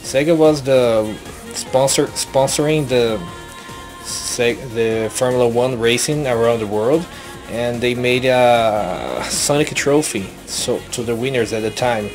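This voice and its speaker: Halting Brazilian-accented man vlogging. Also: mid-range voice, faint music behind. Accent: Brazilian Portuguese. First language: Portuguese. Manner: halting, many restarts and self-corrections, earnest. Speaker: YouTuber. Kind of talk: casual vlog monologue.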